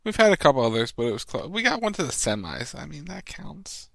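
A man's voice: fairly high pitch, very animated, fairly quick, gravelly, a bit bright, no room sound. A man co-hosting a casual podcast with playful banter, often repeating words for effect between short announcements. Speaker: podcast co-host.

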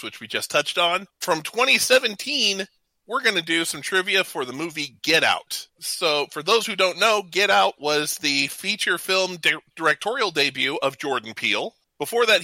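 A man's voice: on the high side, bright, forceful tone, no echo, low-quality recording. A man hosting a trivia game on a casual talk show, speaking informally and hesitating a little when he ad-libs. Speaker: podcast host running a trivia game.

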